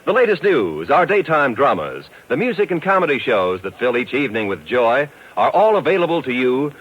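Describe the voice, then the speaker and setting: A man's voice: medium pitch, a bit bright. A man delivering a scripted 1950s radio network promo with upbeat, polished salesmanship. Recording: dull, muffled vintage radio recording.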